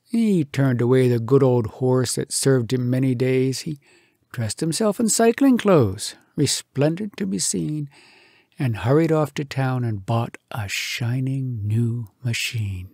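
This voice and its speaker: Husky, animated man reciting humorous verse. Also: mid-range voice, no echo, clean studio sound. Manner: theatrical, animated delivery with dramatic pauses. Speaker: storyteller reading poems aloud. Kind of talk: expressive comic poetry recitation.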